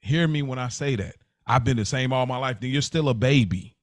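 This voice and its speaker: A man's voice: medium pitch, brisk, dry recording, studio quality. An American man hosting a podcast, talking casually and motivationally in a blunt, slangy, emphatic way. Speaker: podcast host.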